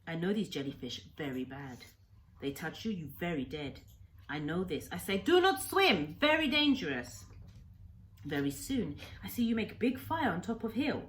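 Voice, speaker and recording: Woman voicing an old Japanese hermit, halting speech. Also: lowish voice, sing-song. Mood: stern, agitated. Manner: halting broken English in short simple sentences. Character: angry, sorrowful, gentle old Japanese island hermit. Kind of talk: storybook read-aloud character.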